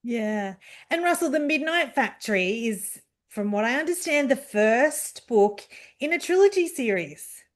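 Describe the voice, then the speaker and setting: Woman host chatting with a guest, pretty high voice, casual interview style. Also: lively intonation, unhurried. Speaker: book show host.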